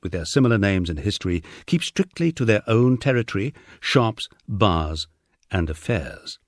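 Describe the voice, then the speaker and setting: A man's voice: fairly low pitch, darkish tone, breathy edge, no echo. A British man narrating a humorous memoir in a wry, dry storytelling manner. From United Kingdom. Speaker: audiobook narrator.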